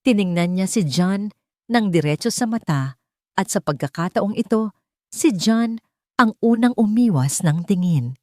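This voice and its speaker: A woman's voice: medium pitch, animated, unhurried, darkish tone, dry recording, studio quality. A woman reading a dramatic romance story as a scripted narration.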